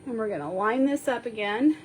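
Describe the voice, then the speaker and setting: A woman sniffling a little as she slowly talks through a card-making tutorial, counting measurements aloud while she works. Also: on the high side, expressive, a little hiss or hum. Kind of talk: step-by-step crafting tutorial.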